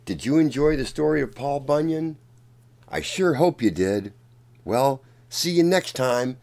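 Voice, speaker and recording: A man reading a children's picture book aloud as a storyteller, in a deliberate, dramatic manner. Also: mid-range voice, expressive, creaky, darkish tone. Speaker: children's storyteller and channel host.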